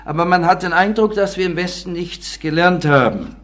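A man's voice: high-pitched, moderate pace, fairly bright tone. A man giving an earnest sermon as a guest preacher, reading newspaper quotes aloud to the congregation. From born in the city of the talk.

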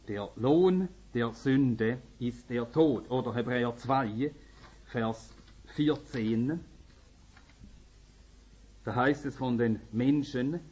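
Swedish-speaking Finnish preacher, husky and earnest. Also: male voice, medium pitch, darkish tone. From Finland. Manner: earnest, emphatic, rising to urgent peaks. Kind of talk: sermon. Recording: slightly dull lo-fi recording.

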